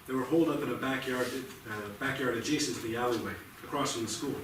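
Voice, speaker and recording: Unsympathetic official male detective, played by an actor, rapid delivery, distant noisy stage recording. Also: mid-range voice, fairly even intonation, natural voice. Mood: blunt, official. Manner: matter-of-fact, official. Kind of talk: staged play reading. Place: small theatre, staged reading. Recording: noisy, very echoey theatre from far off.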